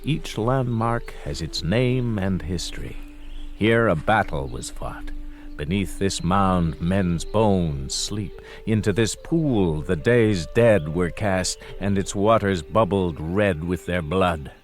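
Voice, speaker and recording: An actor playing a solemn, brooding storyteller, narrating a radio drama with poetic, deliberate delivery. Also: male voice, deep voice. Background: faint music.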